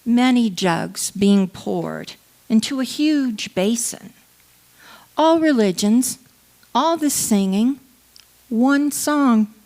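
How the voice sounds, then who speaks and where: A woman giving a measured, deliberate dramatic reading of poetry. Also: a little high, a little mellow. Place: church service.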